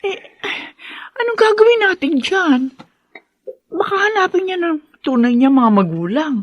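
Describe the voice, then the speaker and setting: Expressive Filipino woman, lively pitch. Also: a little slow, no room sound, dull audio, heavily acted. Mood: worried. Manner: expressive, colloquial, emotive. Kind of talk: Tagalog radio drama acting.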